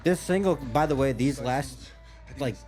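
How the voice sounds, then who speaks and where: A man giving casual, offhand reaction commentary as a host, blunt and quick to swear. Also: medium pitch, darkish tone. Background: game cutscene audio with music.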